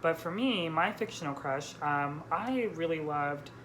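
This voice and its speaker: A woman's voice: deep voice, expressive, unhurried, fairly bright tone. A woman hosting a casual book vlog, chatting informally and peppering her sentences with "like". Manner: frequent "like" as filler. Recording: noisy room background.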